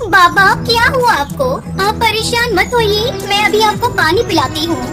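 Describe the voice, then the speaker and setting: A woman as a squeaky-high young girl bird. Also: normal speed, loud music behind the voice. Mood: earnest, polite. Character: young female bird, dutiful, sweet and tearful. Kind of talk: Hindi children's moral-story cartoon dialogue.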